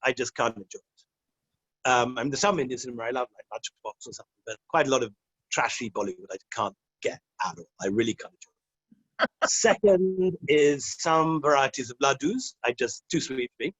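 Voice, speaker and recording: A man's voice: mid-range voice, animated, normal speed, a bit bright, slightly rough, no room sound, highs cut off. A middle-aged man from Scotland, a guest answering interview questions in a wry, rambling way, with self-deprecating humor and frequent laughs.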